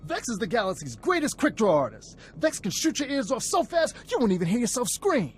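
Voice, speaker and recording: A man's voice: very high-pitched, huge pitch swings, fairly quick, heavily acted. A man playing a showy, boastful gunslinger outlaw, talking in a theatrical, mocking way in video game dialogue. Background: faint game music.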